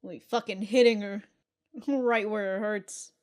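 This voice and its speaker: A woman giving casual, excitable reaction commentary as host, swearing freely and often breaking into laughter. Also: mid-range voice, slow.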